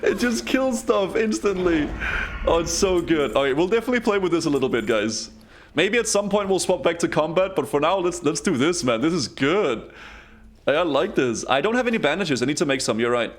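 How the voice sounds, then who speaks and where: A man from Denmark, chatting excitedly with his viewers while he streams a game. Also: a little high, a little fast. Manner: excitable, chatty, talks straight to chat. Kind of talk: casual live-stream commentary.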